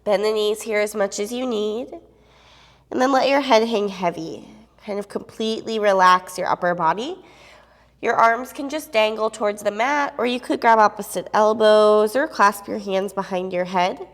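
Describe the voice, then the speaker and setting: Young woman leading yoga, a bit sniffly w a cold, calm steady cues, clip-on mic, long reverb like a big room. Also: medium pitch, moderate pace. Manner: calm, steady cueing with soft fillers. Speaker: yoga instructor. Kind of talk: guiding a yoga class.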